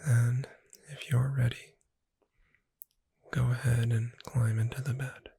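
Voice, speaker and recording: A performer voicing a gentle, reassuring witch bookseller in a breathy whisper, soothing the listener in an ASMR roleplay. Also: mid-range voice, level tone, no echo, exaggerated voice. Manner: slow, gentle, soothing, addressing the listener directly.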